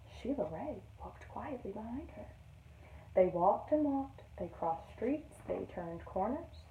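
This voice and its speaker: A woman teacher reading a picture book aloud to young children in an expressive storytelling manner. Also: mid-range voice, slow, narrow, tinny audio, slight background noise. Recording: roomy echo, distant microphone.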